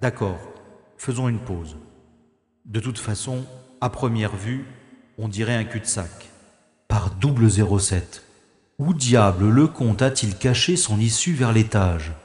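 A man's voice: lowish voice, expressive, a little slow, a little mellow, roomy sound, in a large hall. An actor playing a composed special agent hero who narrates his own escape in the first person with dry, wry asides. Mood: calm. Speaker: lead character and narrator.

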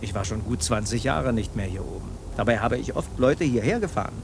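A man's voice: medium pitch, expressive, fairly quick, creaky, noisy background. An actor playing an amused, easygoing taxi driver in his forties in a satirical radio play. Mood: musing. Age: 40s. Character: easygoing, amused middle-aged taxi driver, uncle's pal.

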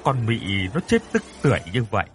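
A man's voice: mid-range voice, wildly swooping pitch, fairly quick, slight background noise. A man voicing a frightened elderly mother in a dramatic story, tearful and pleading. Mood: fearful sorrow.

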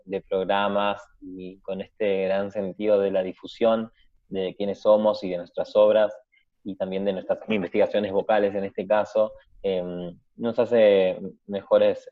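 A man, a guest singer, talking casually in an earnest, appreciative way. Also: low voice. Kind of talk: casual thank-you talk. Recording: thin phone-like call audio.

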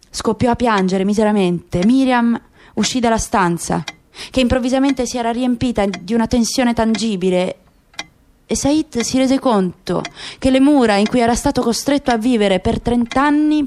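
Female narrator for literary radio theatre, high voice, slight noise on the recording. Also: moderate pace, a little mellow. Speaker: narrator, actress. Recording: faint background noise.

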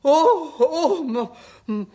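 An actor playing a stiff, easily flustered count's servant in a children's radio play, with formal, haughty diction. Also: male voice, very high voice, lively intonation, extremely slow, mellow, full character voice. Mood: bewildered, exasperated.

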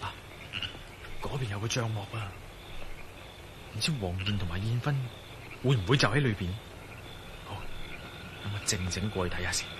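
A man's voice: on the low side, close to an everyday voice. Man acting a resolute adventurer, noisy background. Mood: searching, watchful. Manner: deliberate, thinking aloud. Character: resolute, self-assured male adventurer, former soldier. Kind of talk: radio drama dialogue and spoken-thought monologue. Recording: radio drama with noisy ambience.

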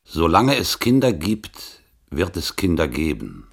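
Deep, husky announcer, solemnly declaiming, with swooping intonation, for an experimental sixties radio play. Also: male voice, wildly swooping pitch, measured pace, noisy background, full character voice. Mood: solemn, declamatory. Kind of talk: 1960s avant-garde radio play recitation.

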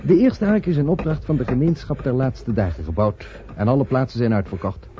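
An actor playing a matter-of-fact ark builder in a radio play, giving an informative sales patter. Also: male voice, mid-range voice, animated, fairly quick, lightly acted. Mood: businesslike. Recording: dull old recording, faint noise.

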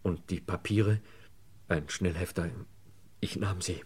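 An actor playing a desperate, broken man under police questioning in a radio crime play, halting and pleading. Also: sits low, fairly even intonation, slight background noise. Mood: broken, despairing. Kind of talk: German radio crime play acting, 1950s to 80s.

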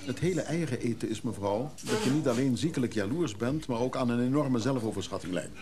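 A man playing a dry, blunt, unsentimental confidant in a Dutch radio play, with a brisk sardonic manner. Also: medium pitch, expressive, a crowd in the background, natural voice.

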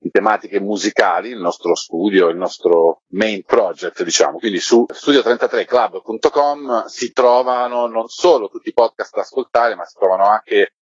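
A man's voice: fairly low pitch, moderate pace, hardly any pauses, slightly rough. A man giving discursive, explanatory answers in a conversational interview. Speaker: podcast curator being interviewed. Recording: roomy, dull lo-fi line.

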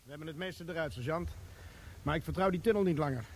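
Eager corporal shouting directions, high voice, faint noise behind , a man acting it. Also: fast, natural voice. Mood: urgent. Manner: urgent, calling out orders. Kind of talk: radio play acting.

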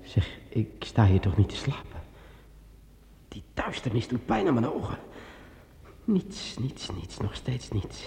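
An actor playing a jittery swordsman in a radio drama, talking to himself in halting, repeated phrases. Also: mid-range voice, sing-song, creaky. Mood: nervous, uneasy. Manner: agitated self-talk, halting and repeating words. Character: jittery man with a sword alone in a dark cellar, muttering to himself. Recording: echoey, with loud music behind.

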